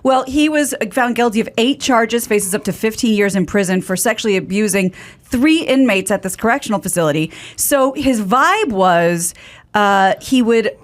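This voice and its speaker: A woman delivers the day's stories at a studio mic, mixing the facts with loose, chatty asides. Her voice sits at an ordinary pitch. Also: lively intonation, normal speed. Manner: reads news items with chatty asides. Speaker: news reporter on a podcast. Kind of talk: casual news segment. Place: podcast studio. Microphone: studio mic with headphones.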